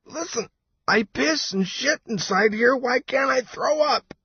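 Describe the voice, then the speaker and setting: Panicky young male traveler, high-pitched and shrill, whining, husky edge, audiobook character. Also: big pitch swings, a little slow, pushed and bright, no echo, dull audio, exaggerated voice. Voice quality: shrill, strained. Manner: whining, agitated complaining. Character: wretched, panicky young male traveler in armor, close to hysterics.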